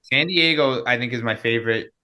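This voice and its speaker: Chatty young man with a bright voice. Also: medium pitch, brisk. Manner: chatty, gossipy, drops lots of likes and ums. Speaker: podcast guest. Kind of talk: casual chat.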